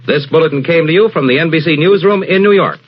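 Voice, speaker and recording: A man reading a formal, crisp news bulletin in 1940s radio style. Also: fairly high pitch, fast talker. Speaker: news announcer. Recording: muffled old radio recording.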